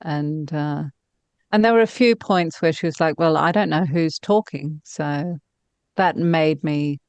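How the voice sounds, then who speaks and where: A woman's voice: sits low, measured pace, a little mellow, clean tone, dry recording. A woman in her fifties chatting casually as an interview guest, effusive and self-deprecating about her own work as a novelist. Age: 50s.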